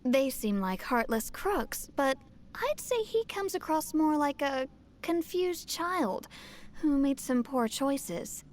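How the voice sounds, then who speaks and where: A gentle, anxious village mother from a video game, earnest and pleading as she frets. Her voice sits very high and rises and falls with lively swings. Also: slow pace, slight background noise, exaggerated voice.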